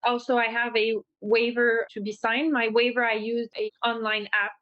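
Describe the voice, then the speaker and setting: Quebecois woman asking about booking software, lots of ums, higher voice, muffled. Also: flat delivery, dry recording. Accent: Québécois French. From Quebec, Canada. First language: French. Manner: thoughtful, halting, with many fillers. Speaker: tour operator. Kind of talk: asking questions in a podcast chat. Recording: dry, dull-sounding mic.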